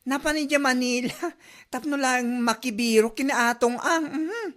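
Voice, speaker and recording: A woman's voice: sits high, a little slow, a bit bright. Woman playing a complaining Ilocano neighbor. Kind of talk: comedy drama acting.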